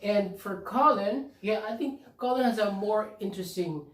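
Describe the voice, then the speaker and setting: A man from the Philippines co-hosting a casual couple's vlog, talking in a halting, easygoing way and laughing often. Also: high voice. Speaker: vlog co-host. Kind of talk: casual chat. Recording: echoey room, mic at a distance.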